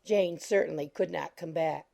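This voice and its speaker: A woman's voice: low voice, normal speed, creaky, mellow. A woman narrating a literary audiobook from a script.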